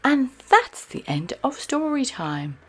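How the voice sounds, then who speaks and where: Slow, breathy woman reading a bedtime story. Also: sits high, faint music behind. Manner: slow, soft, very expressive reading. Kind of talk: children's read-aloud narration.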